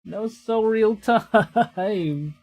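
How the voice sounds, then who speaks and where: A man doing live game commentary, excitable, reading story text aloud and muttering phrases over to himself. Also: high-pitched, extremely slow. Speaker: streamer.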